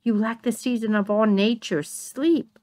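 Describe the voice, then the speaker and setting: A woman playing a composed, commanding queen in a measured Shakespearean dramatic reading. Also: a little high, a little mellow, close to an everyday voice. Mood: weary, restrained. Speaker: amateur reader in a dramatic reading.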